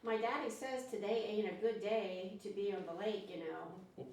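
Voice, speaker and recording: A woman's voice: mid-range voice, steady tone, moderate pace, darkish tone, close to an everyday voice. A woman at an amateur table read voicing a solemn, eerie little country girl with a folksy way of warning. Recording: echoey room, distant microphone.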